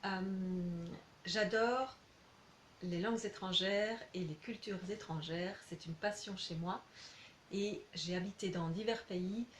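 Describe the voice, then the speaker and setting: A woman from Belgium, a language teacher introducing herself informally in slightly halting, self-correcting phrases. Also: medium pitch, steady tone. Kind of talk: informal self-presentation to prospective students. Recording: roomy echo, clear background noise.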